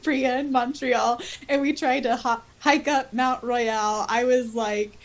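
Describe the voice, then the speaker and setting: Higher-voiced woman in casual podcast chat. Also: fairly even intonation, slow. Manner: informal, giggly, frequent "like". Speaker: podcast co-host. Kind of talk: casual chat, reading out listener comments.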